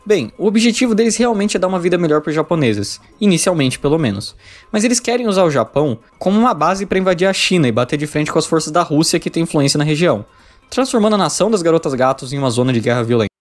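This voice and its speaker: A man's voice: fairly high pitch, animated, quick pace. A man with a Brazilian accent reading a scripted, informal review in a wry, jokey manner. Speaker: video essay reviewer.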